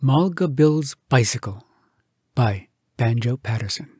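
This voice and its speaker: Husky, animated man reciting humorous verse. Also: medium pitch, no echo, studio quality. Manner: theatrical, animated delivery with dramatic pauses. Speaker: storyteller reading poems aloud. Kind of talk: expressive comic poetry recitation.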